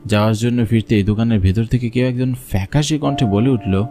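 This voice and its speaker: A man's voice: mid-range voice, brisk, over music. A man narrating a scripted horror story in the first person, as a storyteller.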